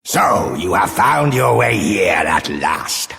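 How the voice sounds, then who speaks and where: A man's voice: sits low, big pitch swings, takes it slowly, gravelly, bright, forceful tone, faint music behind, full character voice. A man voicing a huge, arrogant, menacing archfiend in a video game, pompous and grandiose, in a long cavernous reverb. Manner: pompous, archaic, grandiose threats. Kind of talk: theatrical video game villain acting.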